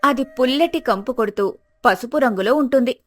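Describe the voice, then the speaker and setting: A woman's voice: on the high side, moderate pace, soft background music. A woman narrating a moral story in a scripted storytelling style.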